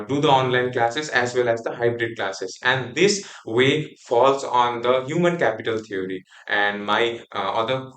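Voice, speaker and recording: A man's voice: mid-range voice, fairly bright tone. Hesitant Nepali-accented young man presenting. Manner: hesitant, many fillers and restarts. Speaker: student presenter. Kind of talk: class group presentation. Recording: echoey room.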